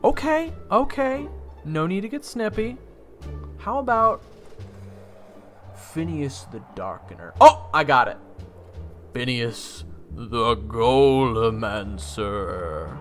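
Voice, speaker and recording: A man playing a young army private in a fantasy audio roleplay, casual, halting and dryly sarcastic. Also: medium pitch, expressive, fairly bright tone, faint music behind. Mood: teasing, incredulous. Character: young army private hero, unimpressed, cheeky and deadpan. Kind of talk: fantasy audio roleplay acting with first-person narration.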